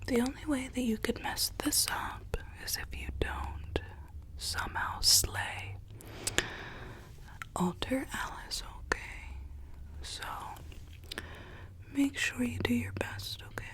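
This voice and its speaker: A woman's voice: high voice, fairly even intonation. A woman whispering an ASMR roleplay as a rogue Alice, a coaxing, sweetly menacing young woman, close to the microphone. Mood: gentle, encouraging. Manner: slow, hushed, coaxing, repeats phrases softly.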